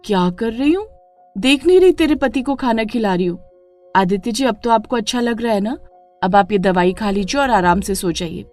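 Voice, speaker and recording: A woman's voice: fairly high pitch, expressive, moderate pace, darkish tone, soft background music, close to an everyday voice. A woman voicing a sly, attentive young woman who plays the rival in a melodramatic story. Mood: sweet, smug. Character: caring, sly young woman friend Mukta, coolly stealing a husband. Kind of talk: melodramatic Hindi moral story acting.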